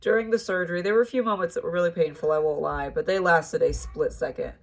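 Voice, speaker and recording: A woman's voice: lowish voice, quick pace. Congested, tired woman vlogging. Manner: tired, relaxed recovery chat. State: congested, nasal. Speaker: farm vlogger. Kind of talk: casual vlog update.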